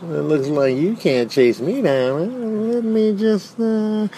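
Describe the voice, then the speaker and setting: A man's voice: high voice, takes it slowly, warm tone, slightly rough, voice pushed up, heavily acted. A man voicing a mischievous, cocky bird with teasing, repetitive taunts in a comic animal voiceover. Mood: taunting.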